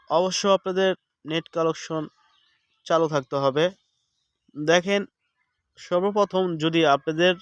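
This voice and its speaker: A man's voice: on the high side, smooth, dry recording. A man hosting a step-by-step screen tutorial. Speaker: tech tutorial host.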